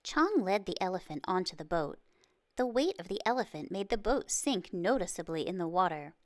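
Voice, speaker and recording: A woman narrating a children's storybook in an expressive, careful read-aloud delivery. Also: lowish voice, moderate pace, no room sound. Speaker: children's book reader.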